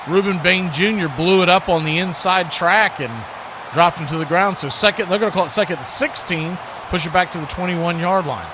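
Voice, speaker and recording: A man's voice: sits high, fairly quick. A man doing live football play-by-play as an announcer, speaking in clipped phrases that build on big plays. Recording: clearly noisy live stream.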